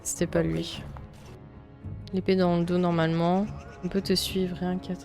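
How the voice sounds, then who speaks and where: Young woman from Belgium, casual let's-play host, slightly low pitch, chatty and loose with swearing, soft game music underneath. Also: flat delivery, normal speed, vocal fry. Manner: chatty, swears often, thinks aloud. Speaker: streamer playing a video game. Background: faint game music.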